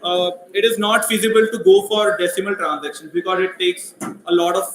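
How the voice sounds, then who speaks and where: A man running a live coding workshop, speaking loudly and asking his audience questions. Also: high voice, fairly even intonation, a little fast, roomy sound, a little hiss or hum, rough recording. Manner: loud voice, asks the audience questions. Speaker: workshop instructor. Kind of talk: live coding workshop, mixing English and Hindi.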